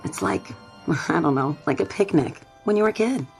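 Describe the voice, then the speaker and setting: A friendly, kindly woman in a radio drama. She talks gently and conversationally in a slightly low voice, with faint music behind her. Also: expressive, normal speed, gravelly. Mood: cheerful, chatty. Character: friendly, chatty young woman, kindly and puzzled.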